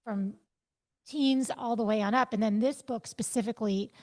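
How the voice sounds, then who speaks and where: A woman in her fifties with a fairly high voice talks through her experiences as a podcast guest. She is reflective and animated, and she leans into the thoughts she quotes. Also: moderate pace. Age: 50s. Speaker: interview guest. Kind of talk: conversational podcast interview.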